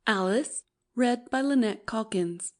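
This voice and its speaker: A woman's voice: on the high side, takes it slowly. A woman reading classic fiction as a scripted audiobook narrator.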